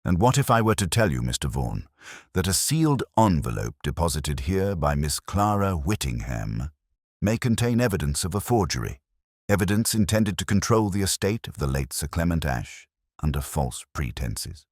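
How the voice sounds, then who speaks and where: A man voicing a composed, shrewd Victorian detective in a storybook reading, with precise, formal, deliberate speech. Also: sits low, sing-song, no echo, studio quality. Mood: confident, formal.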